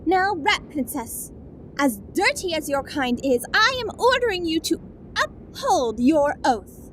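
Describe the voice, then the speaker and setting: Tiny, very high-pitched prim fairy. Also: female voice, very animated, unhurried, a bit bright, slight background noise, full character voice. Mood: indignant, commanding. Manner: prim, exclamatory. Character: tiny prim bossy Sugar Plum Fairy. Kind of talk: audio drama acting.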